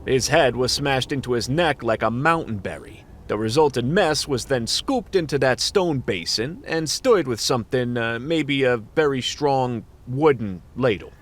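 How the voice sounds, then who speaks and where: An actor playing a world-weary, semi-retired goblin detective in a radio play, with wry hardboiled noir delivery. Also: male voice, medium pitch, expressive, measured pace, hardly any pauses, a little hiss or hum, close to an everyday voice. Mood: analytical.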